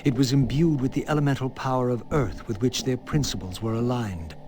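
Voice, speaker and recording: An actor playing a brooding undead antihero in a video game, formal and measured, with a husky voice. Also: male voice, mid-range voice, noisy background, lightly acted. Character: brooding undead male antihero recounting his quest. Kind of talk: dramatic scripted game narration.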